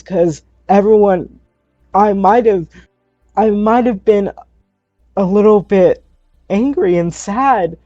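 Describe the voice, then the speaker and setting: A man's voice: sits high, a little mellow, dry recording, lo-fi sound. A man playing a distraught, defensive orphaned teenage girl on trial, stammering hesitantly in a tabletop roleplay.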